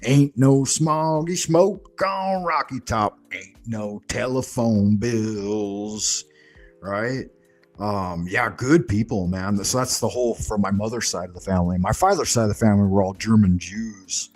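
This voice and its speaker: A man's voice: lowish voice, measured pace, faint music behind. A man hosting a stream, rambling in casual chat and swearing freely. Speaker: streamer host.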